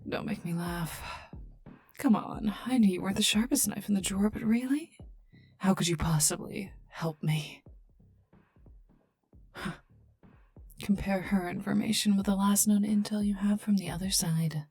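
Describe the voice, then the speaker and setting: A woman's voice: mid-range voice, creaky. A woman playing a haughty, prickly poisoner agent in an ASMR roleplay, dry and sarcastic, often dropping near a whisper. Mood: mocking, reluctant. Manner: dry, sarcastic, scornful, unhurried. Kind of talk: ASMR roleplay addressed to listener. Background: calm ambient game music.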